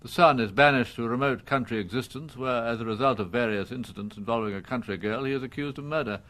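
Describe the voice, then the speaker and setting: A proud old English judge played by a male actor in a radio play. He is coldly courteous and disdainful, setting out each phrase in formal, measured legal language, at a middling pitch. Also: fairly bright tone, lightly acted. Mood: coolly judicial. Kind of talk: radio drama acting.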